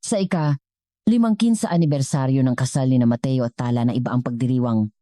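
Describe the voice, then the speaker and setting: A woman with a Filipino accent narrating a scripted story in an earnest, measured way. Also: very deep voice, mellow, no echo, studio quality.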